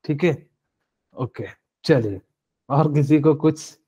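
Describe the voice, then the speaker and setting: A man's voice: on the high side, takes it slowly, mellow, husky. A male chemistry teacher giving a live online class, explaining step by step with many fillers and asking students questions.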